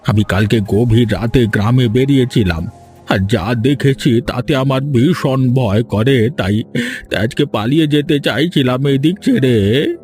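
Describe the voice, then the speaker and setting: A scared old villager, played by a man, confesses slowly and haltingly over background music. He is a fearful captive in a dramatic cartoon. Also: medium pitch, darkish tone, lightly acted. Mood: fearful, confessing.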